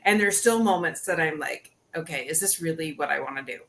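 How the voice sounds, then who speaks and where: A woman's voice: sits low, a little fast, noisy background. A woman hosting an interview podcast, chatting casually with plenty of restarts and "you know". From Canada. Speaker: podcast host.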